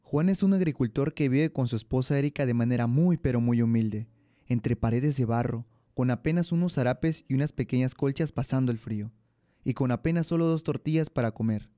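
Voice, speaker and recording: A man's voice: medium pitch, brisk, warm tone, dry recording, clean studio sound. A young man with a Mexican accent presenting and narrating a scripted radio drama. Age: young adult.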